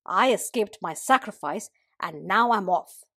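A woman's voice: mid-range voice, animated, unhurried, a bit bright, slightly rough, no echo, studio quality, exaggerated voice. A woman voicing a bold, restless sheep in a children's folktale, earnest and urging. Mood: warning, urging.

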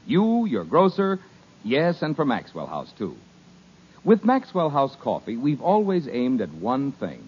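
An upbeat, salesy male announcer from an old radio broadcast, his pitch swinging widely as he sells. His voice has a slightly husky edge, and the recording sounds dull and dated. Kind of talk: 1950s radio commercial.

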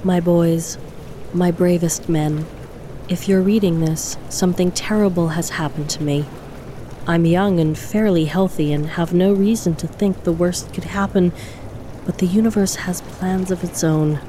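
A woman voices a young woman speaking her farewell letter to two men, tender and intimate. Her voice is low and mellow, with faint ambient noise behind it. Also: steady tone, a little slow, close to an everyday voice. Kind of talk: reading a letter in an audio drama.

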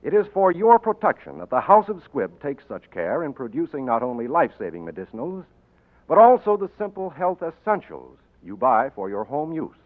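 A male announcer reading a sponsor message and sign-off in a measured, polished cadence. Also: medium pitch, very animated. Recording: tinny 1940s radio broadcast, faint music.

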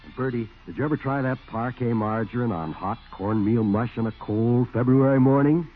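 Male commercial announcer, vintage radio sponsor style, medium pitch, thin band-limited recording. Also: normal speed, slight background noise. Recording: tinny 1940s radio recording.

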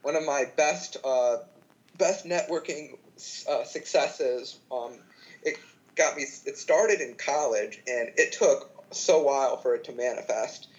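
A man's voice: medium pitch, a little slow. Young man chatting through muffled audio. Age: 20s. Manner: chatty, lots of fillers and false starts. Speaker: podcast guest. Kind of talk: casual chat.

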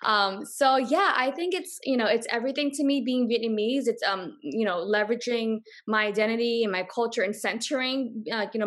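A woman, talking casually and passionately as an interview guest, full of "like" fillers. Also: mid-range voice. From Boston, US. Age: 30s. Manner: chatty, passionate, many "like" fillers. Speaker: guest, coffee company founder.

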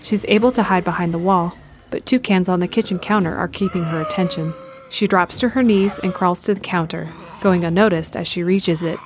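A woman's voice: on the low side, fairly quick, a little hiss or hum. A woman reading scripted narration for an audio drama as its narrator. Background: music.

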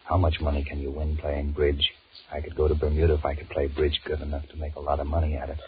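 An actor playing a weary, sullen two-timing American office man in a 1940s radio drama, musing in a deadpan mutter. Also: rumbling low. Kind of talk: 1940s radio drama acting, inner monologue. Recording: muffled, noisy 1940s radio recording.

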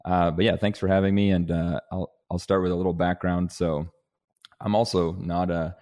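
A man in his twenties, originally from Denmark, talking as an interview guest in a relaxed, rambling way with restarts and "you know"s. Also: deep voice, normal speed. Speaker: guest, agribusiness vice president. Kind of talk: casual interview conversation.

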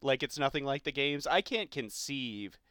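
A man's voice: medium pitch, expressive, normal speed, sharp tone, dry recording, clean studio sound. A man co-hosting a podcast, casually discussing films. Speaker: podcast co-host.

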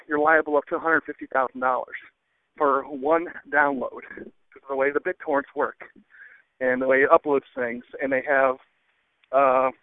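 Tinny phone-line male caller, slightly high voice. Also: dry recording. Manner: hesitant, with many ums and pauses. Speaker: listener calling in. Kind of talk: casual rambling voicemail. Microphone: telephone. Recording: narrow, dry phone-line sound.

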